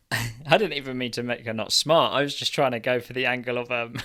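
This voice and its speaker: A man's voice: mid-range voice, steady tone, fairly quick, bright, forceful tone. A man co-hosting a podcast, talking in a casual, comic, conversational way. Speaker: podcast co-host. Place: indoors on a video call.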